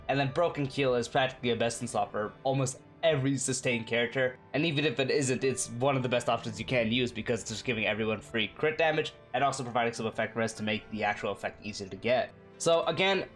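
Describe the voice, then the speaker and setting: A man with a cold, sounding nasal, giving a casual game guide voice-over. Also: mid-range voice. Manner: fast, energetic, chatty. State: sick, nasal.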